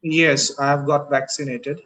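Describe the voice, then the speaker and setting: A man's voice: fairly high pitch, little pitch movement, measured pace, fairly bright tone, rough recording. A man from Pakistan, whose first language is Urdu, chatting on a video call in careful, hesitant English with frequent uhs. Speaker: English teacher and channel host. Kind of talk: casual video call chat.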